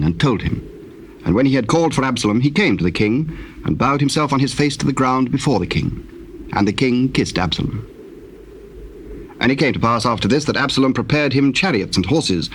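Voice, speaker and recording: A man with a British accent narrating scripture in a formal, measured, solemn storytelling style. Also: mid-range voice. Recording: noisy old cassette tape with ambience.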